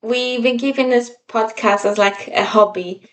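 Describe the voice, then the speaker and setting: A woman's voice: fairly high pitch, steady tone, measured pace. Slightly distant woman chatting on a podcast. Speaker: podcast co-host. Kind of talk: casual podcast chat.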